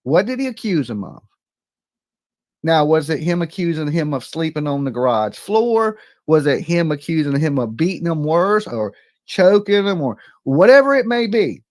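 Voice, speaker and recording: A man's voice: on the high side, sing-song, normal speed, no room sound, clean studio sound. A man hosting a live call-in stream with blunt, confrontational, colloquial talk. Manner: blunt, colloquial, streetwise phrasing. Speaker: live-stream host taking calls.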